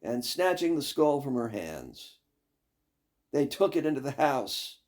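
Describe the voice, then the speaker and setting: Older male storyteller: unhurried, very animated pitch, dramatic fairy-tale reading. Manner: dramatic, deliberate. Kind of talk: expressive scripted fairy-tale narration.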